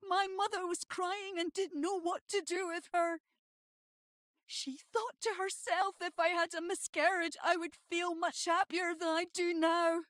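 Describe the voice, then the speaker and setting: A woman's voice: very high-pitched, flat delivery, unhurried, fairly bright tone, dry recording, clean studio sound. A woman reading a scripted narration.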